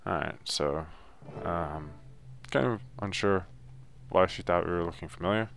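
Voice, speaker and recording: Laid-back guy doing a game guide, really deep voice with lots of fry, rambly and hesitant. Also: a little slow, background noise. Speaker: video game guide narrator. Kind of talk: casual unscripted walkthrough commentary.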